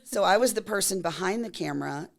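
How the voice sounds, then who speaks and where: A woman in her fifties from Texas, a makeup artist telling stories in a casual interview, her voice scratchy and hoarse from a cold. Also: medium pitch, lively intonation, fast talker. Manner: chatty storyteller, laughs often.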